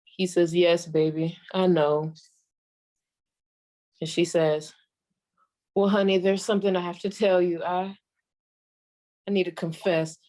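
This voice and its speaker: Sassy old female stand-up played by a woman, 1940s radio act, low-pitched, very unhurried, folksy deadpan storyteller. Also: lightly acted. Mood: wry storytelling. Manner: folksy, deadpan, drawn-out delivery with deliberate pauses. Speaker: comedian performing a monologue.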